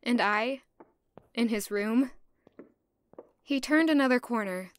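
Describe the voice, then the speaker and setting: A woman's voice: on the high side, slow. A woman playing a young, anxious, curious castle servant who narrates her own story in an audio drama. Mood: nervous, musing. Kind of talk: first-person audio drama narration and dialogue.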